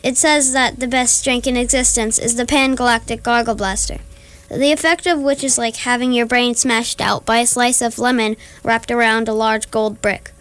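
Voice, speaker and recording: A woman playing a matter-of-fact guidebook narrator, reading measured, explanatory narration in an amateur radio play. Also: fairly high pitch, fairly even intonation, fairly bright tone, lightly acted.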